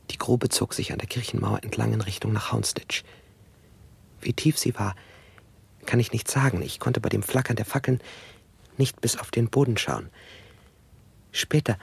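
An actor playing a troubled townsman in a 1960s radio play, recounting plague burials in a careful, hushed manner. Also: on the low side, vocal fry, husky, heavily acted.

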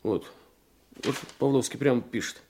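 Male actor as diligent detective subordinate, mid-pitched, eager, deferential, explanatory, radio play. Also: normal speed, a little mellow, slightly rough, lightly acted. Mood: explaining. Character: earnest, diligent male detective reporting to his chief. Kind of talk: Russian radio drama acting.

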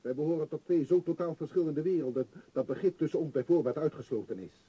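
An actor playing an earnest, self-righteous shopkeeper and family man in a radio play, with a dark, husky voice and insistent manner. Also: on the high side, quick pace, dull audio. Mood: indignant. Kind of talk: Dutch radio play acting.

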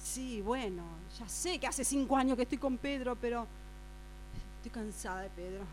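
A woman acting a gossipy friend confiding secrets in a hushed, urgent way with an Argentine accent, in an improvised radio drama. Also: a little high, moderate pace, lightly acted, rough recording. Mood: secretive, excited. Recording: mains hum noise.

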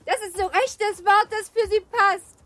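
Young noblewoman, radio play: high voice, genteel, coquettish, teasing. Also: fairly even intonation, moderate pace, fairly bright tone. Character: playful, teasing young married noblewoman, about seventeen. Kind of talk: German literary radio drama acting.

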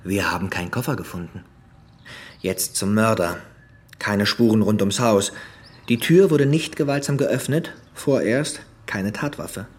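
An actor playing a methodical police investigator in a crime radio drama, reporting in an orderly, factual way. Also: male voice, lowish voice, unhurried, close to an everyday voice. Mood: factual, measured. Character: methodical male police investigator briefing his chief.

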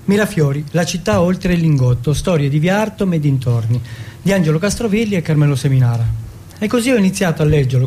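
Man, slightly high pitch, dark relaxed tone, deliberate delivery, storytelling monologue. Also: a little hiss or hum. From Turin, Italy. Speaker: monologue performer. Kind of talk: theatrical autobiographical monologue, narrative storytelling.

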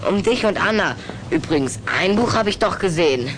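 Eine Frau spricht in einem Kinderhörspiel einen ängstlichen, zögernden Jungen, der stockend widerspricht und doch neugierig ist. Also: female voice, on the low side, expressive, brisk, noisy background, natural voice. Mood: hesitant, curious. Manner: hesitant, stammering, protesting. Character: timid, reluctant human boy, hesitant but curious. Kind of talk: German radio play acting for children.

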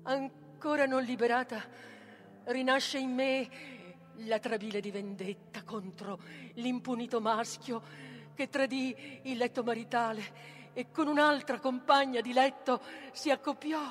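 A woman's voice: a little high, steady tone, a little slow, lightly acted. A woman playing a proud, wronged, vengeful tragic heroine in a solemn, declamatory theatrical reading. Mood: fierce, vengeful. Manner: declamatory, solemn, swinging from hushed whisper to fierce force. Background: music.

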